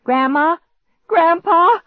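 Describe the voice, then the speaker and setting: A woman's voice: very high voice, animated, slow, no room sound, narrow, tinny audio, pitched above the performer's own voice, full character voice. Woman acting a frantic, shrieking young girl. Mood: panicked. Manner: shrieking, urgent, breathless pleading.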